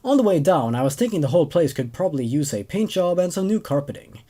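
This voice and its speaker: A young man narrating a story as host, reading expressively and in a measured way with dramatic pauses. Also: fairly high pitch, sing-song, mellow. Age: young adult. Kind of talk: story narration with casual commentary.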